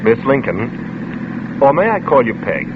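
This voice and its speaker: An actor playing a glib, flippant charmer under suspicion in a vintage radio drama, with breezy sarcastic banter. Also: male voice, medium pitch, very animated, slow pace. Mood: playful, flirtatious. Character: glib, charming, flippant male suspect, smoothly teasing. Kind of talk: 1940s-50s radio drama acting. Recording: noisy, tinny old radio recording.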